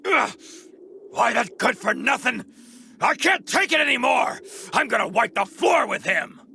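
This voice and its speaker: An indignant martial arts hero has a high, very gravelly voice with a forceful edge. He is brash and excitable in English-dubbed anime game style. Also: a little slow, a little hiss or hum, heavily acted. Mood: exasperated.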